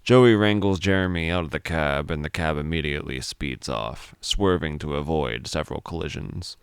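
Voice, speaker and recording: An extremely low-voiced man narrates a dramatic story. His voice is smooth and resonant, recorded close and dry. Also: normal speed, no room sound.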